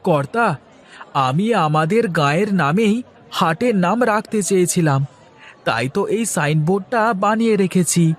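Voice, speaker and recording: A man's voice: high voice, expressive, a little slow, some chatter behind. A man voicing an eager, deferential villager in a cartoon. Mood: eager, humble. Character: eager, deferential male villager making suggestions to his boss. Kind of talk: cartoon voice acting.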